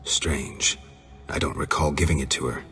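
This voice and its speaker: An actor playing a gruff, stoic monster hunter in a video game, gravelly, terse and deadpan. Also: male voice, extremely low pitch, moderate pace, faint music behind, exaggerated voice. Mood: flat, questioning.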